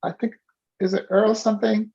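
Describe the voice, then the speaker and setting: A man coaching a participant on a video call, animated and teasing as he prods them by name. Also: high voice, normal speed, muffled recording. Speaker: business coach.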